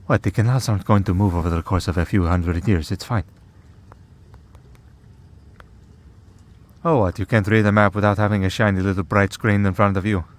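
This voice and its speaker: A man's voice: fairly low pitch, steady tone, quick pace, natural voice. A man playing a wry, teasing vampire in a priest's collar for an ASMR roleplay, in a sardonic, conversational way. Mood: wry, exasperated.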